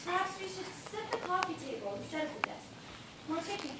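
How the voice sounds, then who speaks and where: A woman's voice: very high voice, big pitch swings, a little fast. A young woman on stage playing an imperious, chatty female boss in a commanding, declamatory way. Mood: breezy, bossy. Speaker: stage actor. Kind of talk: live stage comedy acting. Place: theatre stage indoors. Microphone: far camera. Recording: distant, very echoey, noisy auditorium recording.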